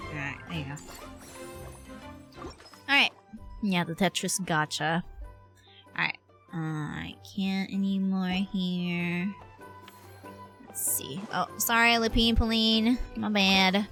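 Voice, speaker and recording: A woman's voice: mid-range voice, gravelly, sharp tone. A young adult woman livestreamer chatting casually over a game, with playful muttered asides and reactions. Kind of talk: casual game stream chat. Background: game music.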